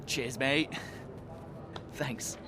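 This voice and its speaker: A man playing an eager, grateful young rookie racing driver in a video game, boyish and animated. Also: medium pitch, very slow. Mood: elated. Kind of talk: cinematic video game dialogue. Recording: loud music and vehicle noise behind.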